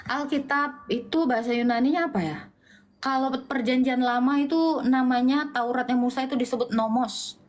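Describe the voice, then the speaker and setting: Insistent high-voiced woman debating. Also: fairly even intonation, moderate pace. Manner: mocking, insistent, repeats her question; informal slangy speech. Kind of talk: heated online debate.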